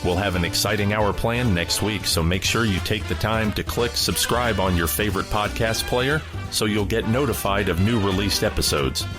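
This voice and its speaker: A male podcast host with a very low voice. He reads scripted announcements in a radio style. Guitar music plays clearly behind him. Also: moderate pace, hardly any pauses.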